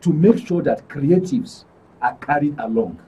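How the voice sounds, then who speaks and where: A man's voice: fairly high pitch, expressive, unhurried, mellow. A Nigerian man speaking earnestly and emphatically as a guest in a talk show interview. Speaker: actors' guild guest. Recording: distant, dull mic with faint noise.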